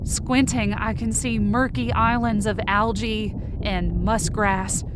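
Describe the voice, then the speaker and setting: A woman's voice: medium pitch, fairly even intonation, slow pace, background noise, close to an everyday voice. A woman playing a panicked young woman, narrating a desperate scene in the first person with urgent intensity for a fiction podcast. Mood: tense, fearful. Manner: urgent, intense, breaking into cries.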